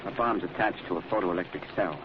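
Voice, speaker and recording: An actor playing a deferential police sergeant in an old radio drama, talking in a folksy, dutiful way. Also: male voice, sits low, quick pace, close to an everyday voice. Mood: dutiful, explaining. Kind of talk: 1940s radio acting. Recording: muffled noisy old radio recording.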